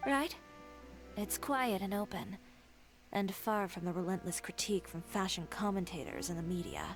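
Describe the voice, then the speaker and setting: A woman's voice: medium pitch, very animated, moderate pace. Confident assertive young fashion designer lady, game dialogue, mid voice, faint music. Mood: relaxed. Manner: poised, self-assured, storytelling. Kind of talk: video game voice acting.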